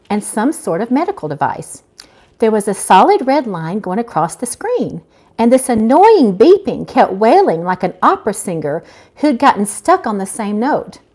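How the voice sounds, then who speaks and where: A middle-aged woman giving a live, informal reading of her own fiction, expressive and unpolished, as an amateur. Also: mid-range voice. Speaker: author reading own novel aloud. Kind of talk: live amateur audiobook reading with casual asides.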